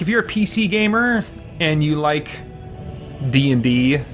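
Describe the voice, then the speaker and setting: A man gives casual live commentary on a video game he is playing. His voice sits at an average pitch, and he muses to himself as he goes, addressing viewers in an offhand way. Game music plays faintly behind him. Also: fairly bright tone. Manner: thinking aloud, musing to himself. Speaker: host of a gaming let's play stream.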